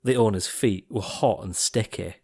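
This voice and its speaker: A man narrating an audiobook of rhyming verse in a theatrical, expressive storytelling style. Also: lowish voice, normal speed.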